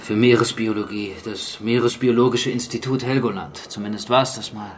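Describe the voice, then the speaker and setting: An actor playing a gruff, no-nonsense archaeologist who leads protectively, in a naturalistic radio drama. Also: male voice, fairly low pitch, fairly even intonation, normal speed, slight background noise, natural voice. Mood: matter-of-fact.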